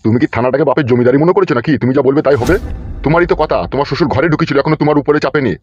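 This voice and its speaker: A man's voice: fairly high pitch, very fast, a little mellow, highs cut off, soft background music, natural voice. A man voicing a foul-mouthed, bullying police officer in a comedy cartoon, scolding and domineering. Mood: stern, questioning. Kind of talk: Bengali comedy cartoon dubbing.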